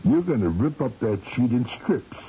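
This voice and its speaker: A man's voice: mid-range voice, very animated, moderate pace, creaky, warm tone. An American actor playing a hard-boiled, menacing gunman in a 1970s radio thriller, curt, slangy and sardonic. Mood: curt, commanding. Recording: dull, noisy old radio recording.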